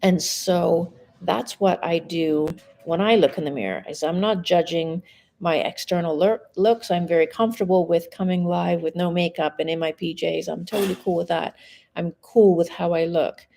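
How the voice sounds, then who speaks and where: A woman's voice: lowish voice, moderate pace, vocal fry, mellow, slight background noise. A woman in her forties, a mindset coach, talking frankly and casually to a live audience from home, swearing now and then. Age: 40s. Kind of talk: casual live-stream monologue.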